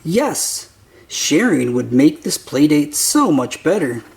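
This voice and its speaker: Slow, animated children's storybook reader. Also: mid-range voice, gravelly. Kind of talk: animated read-aloud narration for young children.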